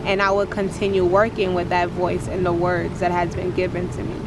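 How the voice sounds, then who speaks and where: A woman's voice: fairly low pitch, completely flat delivery, normal speed, very noisy. A woman with a naturally strong voice speaking to camera as a motivational speaker and poet, in an earnest, preachy, uplifting cadence that repeats its phrases. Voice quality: strong, powerful voice. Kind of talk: inspirational testimony to camera.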